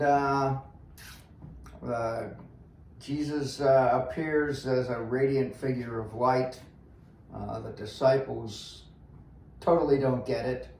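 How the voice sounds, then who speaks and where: An older man, in his sixties or above, casually discussing the Bible in a halting, plain-spoken way and thinking aloud with pauses. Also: mid-range voice, gravelly, darkish tone. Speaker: guest on a church read-along discussion. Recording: echoey room, faint noise.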